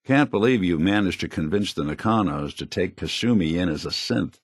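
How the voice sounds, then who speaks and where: A man voicing a wry, kindly, world-weary android private detective in a video game, with a gravelly, husky voice and a laconic hardboiled delivery. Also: sits low, expressive, normal speed, no room sound, studio quality, exaggerated voice. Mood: somber, reflective. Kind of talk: video game character dialogue.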